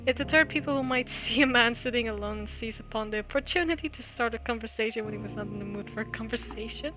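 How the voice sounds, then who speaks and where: Girl streamer reading a visual novel out loud, kinda high voice, music playing behind her. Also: fast talker. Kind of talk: live game playthrough, reading aloud with casual chat. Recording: music under the voice. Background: game music.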